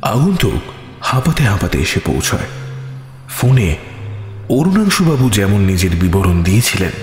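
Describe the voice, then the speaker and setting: Male horror narrator, very low pitch, lively swooping intonation, theatrical, suspenseful, dramatic storytelling. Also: normal speed. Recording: reverberant hall effect with music behind. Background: music.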